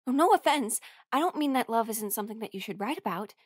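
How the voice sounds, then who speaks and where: Young female musician, friendly and playful, halting conversational delivery for intimate audio roleplay, dry sound played by a woman. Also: on the high side, animated, dry recording. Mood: hesitant, doubtful. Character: friendly, teasing young woman musician, tired bandmate on tour.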